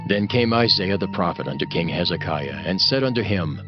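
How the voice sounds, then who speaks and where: Solemn, expressive male scripture narrator. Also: sits low, brisk. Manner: solemn, dramatic storytelling. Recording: dull sound under loud music. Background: music.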